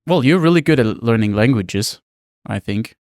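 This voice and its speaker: Clear-voiced man hosting a casual podcast. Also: mid-range voice, animated, moderate pace, darkish tone, no room sound, studio quality. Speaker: podcast host.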